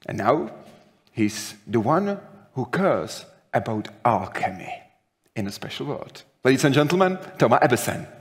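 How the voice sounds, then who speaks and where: A man's voice: medium pitch, sing-song, moderate pace. Young man, moderator, dramatic rehearsed intro, hall reverb. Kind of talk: introducing speakers. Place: large vaulted hall. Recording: long reverb of a large hall.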